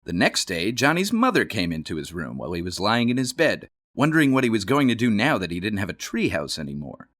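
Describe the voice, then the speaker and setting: A man reading a children's storybook aloud. He plays up the words with dramatic emphasis, his pitch leaping and dropping all through. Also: huge pitch swings, fairly quick, hardly any pauses, a bit bright. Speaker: storybook reader. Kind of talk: read-aloud storybook narration.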